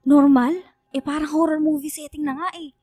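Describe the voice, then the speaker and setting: A woman's voice: high voice, steady tone, a little fast, mellow, no room sound, studio quality, natural voice. A young woman playing a skeptical, easily spooked student in a Filipino radio drama, teasing and emphatic. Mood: teasing, uneasy.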